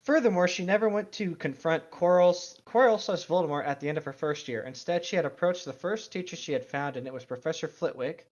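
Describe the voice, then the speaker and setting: A man's voice: on the high side, brisk, hardly any pauses. A man chatting casually and reading aloud as a co-host of a commentary show. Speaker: co-host reading and reacting to fan fiction. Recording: dull, lo-fi microphone sound.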